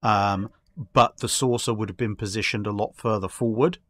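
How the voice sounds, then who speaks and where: A man in a casual discussion, explaining his speculative ideas in a halting way with repeated words. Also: on the low side, fairly even intonation, a bit bright. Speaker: podcast guest.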